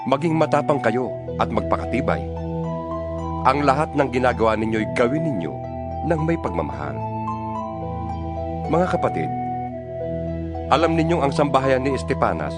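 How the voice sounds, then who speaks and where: A man's voice: medium pitch, animated, normal speed, long pauses, natural voice. A man with a Filipino accent playing an apostle exhorting fellow believers in an emphatic, oratorical dramatized Bible reading. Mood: earnest, instructive. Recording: music bed behind the voice.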